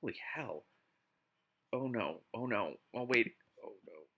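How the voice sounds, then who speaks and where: A man hosting a live read-aloud of a book, mixing narration with casual, exasperated and expressive commentary. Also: on the low side, measured pace. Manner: exasperated, expressive asides while reading.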